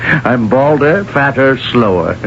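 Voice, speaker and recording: Jovial evasive company boss, blustering, stammering, lively up-and-down voice, unhurried, dull old broadcast. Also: male voice, mid-range voice, vocal fry, full character voice. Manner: blustering, stammering, full of fillers. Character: flustered middle-aged male construction boss, evasive and jovial. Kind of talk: 1970s radio drama acting. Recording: dull 1970s radio broadcast with faint hiss.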